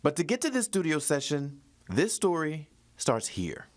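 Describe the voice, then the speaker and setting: A man's voice: fairly high pitch, very animated, normal speed. A man working as a TV news reporter, delivering a feature in a polished broadcast style. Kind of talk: scripted news feature narration with some studio chat.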